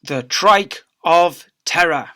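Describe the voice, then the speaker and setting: A man's voice: fairly high pitch, takes it slowly, pushed and bright. A man, a teacher reading aloud, tells a children's story expressively, with theatrical emphasis and phrases that drop away at the end.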